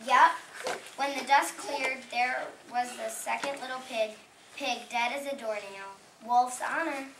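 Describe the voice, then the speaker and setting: Lady reading as a cheeky storybook wolf making excuses, high voice, noisy far-off mic. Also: fairly even intonation, a little slow, vocal fry. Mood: cheeky, defensive. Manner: defensive, self-justifying storytelling. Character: aggrieved, cheeky storybook wolf pleading his innocence. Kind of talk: readers' theater stage reading. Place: library room, distant microphone. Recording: echoey, noisy room from far off.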